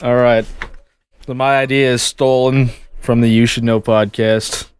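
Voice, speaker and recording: Sniffly young man with a smooth voice. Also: medium pitch, flat delivery, measured pace. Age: young adult. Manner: joking, swears, chuckles between lines. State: sniffly, stuffy nose. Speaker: podcast co-host. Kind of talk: casual chat.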